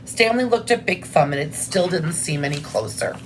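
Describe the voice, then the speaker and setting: A woman's voice: fairly low pitch, animated, moderate pace, slight background noise. A woman reading aloud expressively as a teacher, with simple, informal explanations about what to draw. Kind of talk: expressive read-aloud with drawing asides.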